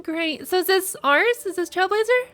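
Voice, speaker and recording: A woman streamer giving casual, expressive live reaction commentary on a game story, with frequent interjections. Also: very high-pitched, moderate pace.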